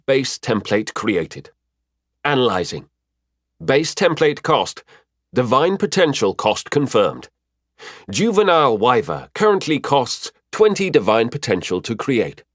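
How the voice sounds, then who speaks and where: A man's voice: medium pitch, no echo, studio quality, voice pushed up. A man voicing an impersonal game-like system help desk in an audiobook, formal and procedural. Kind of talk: audiobook character voice.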